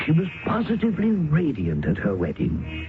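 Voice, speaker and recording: A wry worldly English writer. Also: male voice, a little high, huge pitch swings, moderate pace, music in the background. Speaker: narrator and character. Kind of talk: 1970s radio drama acting. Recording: tinny old radio broadcast, hiss.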